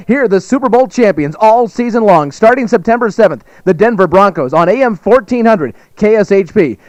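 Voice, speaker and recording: A man's voice: high-pitched, a little mellow, poor audio. A man announcing a scripted sports promo for radio.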